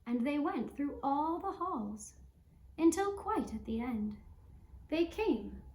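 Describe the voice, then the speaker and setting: A woman storyteller narrating a fairy tale for a puppet show in a measured, deliberate way. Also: high voice, big pitch swings, a little mellow. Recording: roomy, echoing sound.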